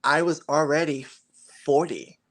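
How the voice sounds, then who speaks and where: A man's voice: a little high, steady tone, unhurried, a bit bright. A man in his forties, a model interviewed as a guest, speaking earnestly and reflectively about his journey. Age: 40s. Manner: earnest and reflective, with occasional laughs and restarts. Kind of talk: casual interview chat.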